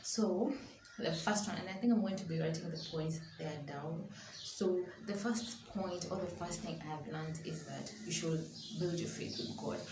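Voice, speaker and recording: A woman's voice: fairly low pitch, moderate pace. A woman, chatting casually to camera as a vlogger and often rephrasing herself. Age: early 20s, twenty-one. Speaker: YouTube vlogger. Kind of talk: casual sit-down chat to camera. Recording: echoey room with clear background noise.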